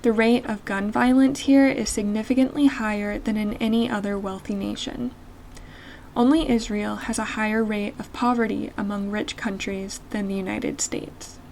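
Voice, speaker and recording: A woman reading an essay aloud in a measured, earnest way. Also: mid-range voice, fairly even intonation, creaky, a little mellow, slight background noise, rough recording. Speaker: reader.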